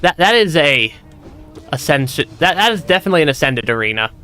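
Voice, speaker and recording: A man streaming a video game, chatting casually and laughing often, stammering and repeating himself, with his voice going hoarse and cutting out. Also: fairly high pitch, big pitch swings, brisk, pushed and bright. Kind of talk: casual gameplay commentary. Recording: music playing behind.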